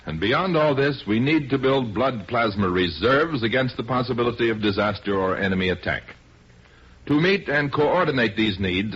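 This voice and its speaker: A man with an American accent reads formal, measured announcements in the style of a 1950s radio announcer. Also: mid-range voice, a bit bright, husky. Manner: formal, measured, scripted delivery. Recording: dull, muffled 1950s radio recording.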